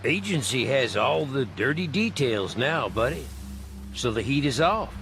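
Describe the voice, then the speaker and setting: Slow, folksy agency operative. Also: male voice, medium pitch, sing-song, fairly bright tone. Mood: chummy, admiring. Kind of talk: video game cutscene acting. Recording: music behind the voice.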